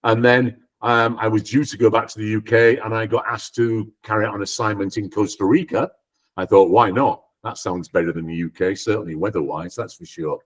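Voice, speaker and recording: A man's voice: fairly low pitch, fairly even intonation, brisk. A Scottish-born man in his sixties, a guest on a video call, chatting in an easygoing, self-deprecating way with lots of ums. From Scotland. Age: 60s. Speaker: guest, company co-founder. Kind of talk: casual interview chat.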